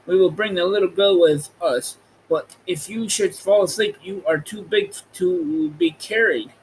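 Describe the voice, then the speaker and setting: A man's voice: sits high, lively intonation, a little slow, creaky, slight background noise, voice pushed up, exaggerated voice, rough recording. A man voicing an earnest, helpful scarecrow in a storybook reading. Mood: urgent.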